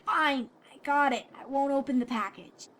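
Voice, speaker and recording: Woman doing a brash, high-voiced young cartoon filly. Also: moderate pace, a bit bright. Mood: grudging. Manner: sarcastic, challenging. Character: brash, sarcastic young pegasus filly, teasing and stubborn. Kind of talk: cartoon-style character reading of fan fiction.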